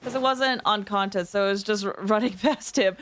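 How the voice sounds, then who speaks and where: A woman hosting a livestream, chatting casually with viewers in an expressive, emphatic way. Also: medium pitch, a little fast, slight background noise. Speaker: livestream host.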